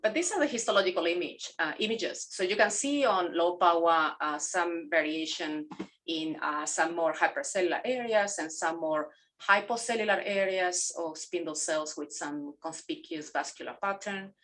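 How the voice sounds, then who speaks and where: Mexican-born woman, halting case presentation. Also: on the low side, fairly bright tone. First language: Spanish. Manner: halting, many fillers and self-corrections. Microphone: video call.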